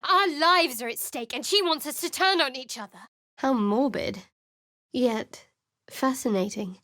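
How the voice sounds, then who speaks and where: A woman's voice: high-pitched, measured pace, pushed and bright, no echo. A woman voicing a guarded, sardonic young female cleric in a video game, with a dry, wry and secretive way of speaking. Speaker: game character voice.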